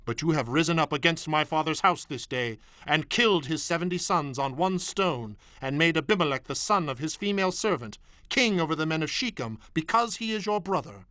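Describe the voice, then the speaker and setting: A man's voice: on the high side, animated, fairly quick, few pauses, pushed and bright, no room sound, clean studio sound. An actor playing a defiant young biblical man, declaiming to a crowd in a radio theatre Bible dramatization. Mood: accusing, warning.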